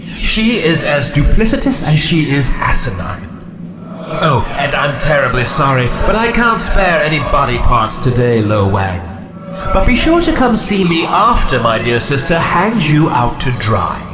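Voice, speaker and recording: Immortal demon villain, haughty, sardonic, highly varied pitch, florid diction, game cutscene, echo with background noise. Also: male voice, very animated, unhurried, music in the background. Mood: mocking menace. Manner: pompous, florid formal diction, sneering. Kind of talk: theatrical video game villain acting. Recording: roomy echo, noisy ambient background.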